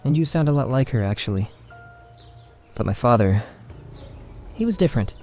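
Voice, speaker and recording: A troubled, defiant orphan boy, acted by a man in a fantasy audio drama. The voice is dark and soft-edged at an average male pitch, halting and defensive, with music behind it. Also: lively intonation, close to an everyday voice. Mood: hurt, frustrated. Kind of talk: narrative fantasy horror audio roleplay.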